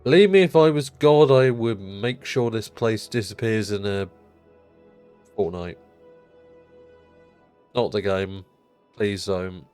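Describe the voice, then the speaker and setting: A man's voice: lowish voice, slow, pauses often, a little mellow, smooth, faint music behind. A man streaming a city-building game, chatting casually and rambling, his nose blocked up because he just rolled out of bed.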